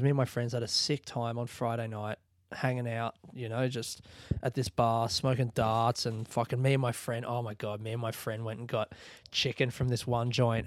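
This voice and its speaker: A man's voice: mid-range voice, darkish tone, no echo, clean studio sound. A man, a comedian and podcast host telling a long rambling story with loose, profane phrasing and laughter.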